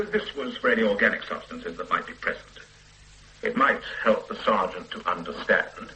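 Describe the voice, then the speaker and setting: An actor playing a pedantic, self-satisfied forensic scientist who lectures the police in a radio drama. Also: male voice, medium pitch, pushed and bright, slight background noise, poor audio. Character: pompous middle-aged male forensic scientist. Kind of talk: 1970s radio drama acting.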